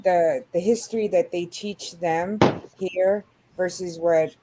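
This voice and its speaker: American woman from New York, slightly low voice, casual group chat. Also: steady tone, slow, background noise. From New York, United States.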